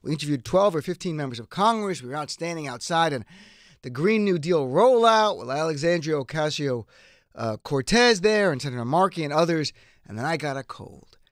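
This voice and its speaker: A male radio host talks through his political show in a chatty, self-deprecating way. His voice is hoarse from a cold but still sits fairly high. He is close on a dry studio mic. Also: animated, brisk, no room sound. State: hoarse, getting over a cold. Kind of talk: political talk radio monologue. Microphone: studio microphone.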